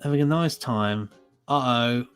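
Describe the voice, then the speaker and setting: A man's voice: medium pitch, measured pace, fairly bright tone, smooth, soft background music. A British man co-hosting a podcast in casual, conversational chat. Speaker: podcast co-host.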